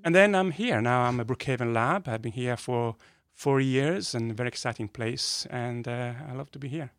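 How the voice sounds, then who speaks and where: A man with an Italian accent, a native Italian speaker, explaining science in a conversational interview with enthusiasm and pauses between phrases. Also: mid-range voice, fairly bright tone, no echo, clean studio sound. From northern Italy. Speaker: guest physicist. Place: podcast studio.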